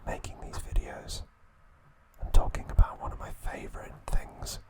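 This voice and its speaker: A man hosting an ASMR channel, whispering trivia softly and gently. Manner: soft unvoiced whisper, slow and gentle.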